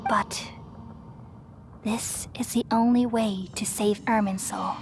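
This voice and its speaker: A woman playing a gentle, self-sacrificing ancient goddess in a video game, speaking in solemn, measured, poetic phrases. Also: mid-range voice, fairly even intonation, slight background noise. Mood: calm, resolute. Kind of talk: scripted dramatic game voice acting. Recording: music behind the voice.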